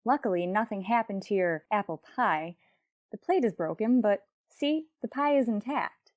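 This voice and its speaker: An actress playing a wry, quick-witted high school teacher in an old-time radio comedy, arch and polite in manner. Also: female voice, expressive, a little mellow, no echo, lightly acted. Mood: apologetic. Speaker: lead actress.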